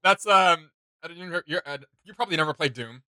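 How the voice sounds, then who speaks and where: A man chatting casually as host of a reaction video, in an animated, enthusiastic manner. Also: on the high side, a little fast, dry recording. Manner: animated, enthusiastic, laughs readily.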